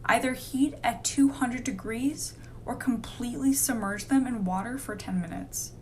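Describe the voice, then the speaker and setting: A serious female disease researcher acted by a woman in a radio drama. She sounds firm and determined, with a fairly high voice over a slightly noisy recording. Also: normal speed, a little mellow, lightly acted. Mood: grave, explaining. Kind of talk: amateur radio drama dialogue.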